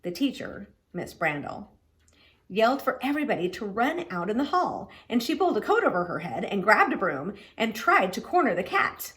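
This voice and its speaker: A woman reading a children's story aloud in an expressive way, leaning dramatically on key words. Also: medium pitch, normal speed. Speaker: children's book reader.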